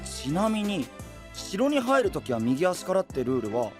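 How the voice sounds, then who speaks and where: A man's voice: high-pitched, big pitch swings, normal speed, music in the background. A man playing an earnest, gullible, easygoing young knight in an anime-style voice drama, guileless and casual. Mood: curious, amazed.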